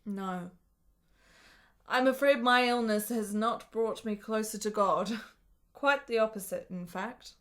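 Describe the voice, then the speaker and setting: An actress playing an ailing, lonely, sharp-witted woman of the 1870s in a radio drama, speaking in measured, literate, wry phrases. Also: a little high. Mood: wistful, wry. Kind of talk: period radio drama acting.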